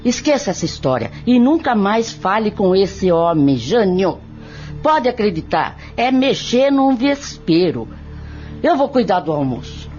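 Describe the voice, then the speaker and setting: A stern, protective Brazilian sister in a radio drama, played by a woman. Her delivery is insistent and swings widely in pitch. The audio is a little dull, with soft music behind. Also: sing-song, measured pace. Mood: stern, fearful. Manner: insistent, emphatic. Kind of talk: audio drama acting in Portuguese.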